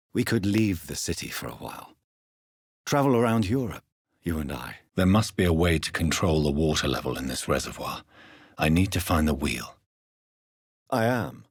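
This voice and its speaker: A man playing a grave, educated gentleman doctor and vampire in a video game, speaking formally and precisely, courteous but stern, in a husky low voice. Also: no room sound, clean studio sound, full character voice. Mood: probing. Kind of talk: scripted video game character dialogue.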